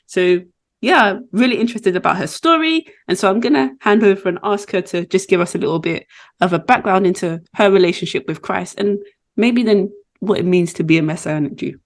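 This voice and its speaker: A woman hosting a podcast about books, chatting casually and laughing often. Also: fairly low pitch. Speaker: podcast host. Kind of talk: casual book chat.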